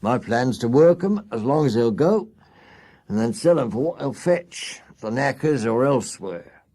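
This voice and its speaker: A man's voice: mid-range voice, expressive, normal speed, dark tone, pitched above the performer's own voice. A man voicing a hard, penny-pinching cab-horse owner in a storybook, blunt and working-class. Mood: callous bluntness.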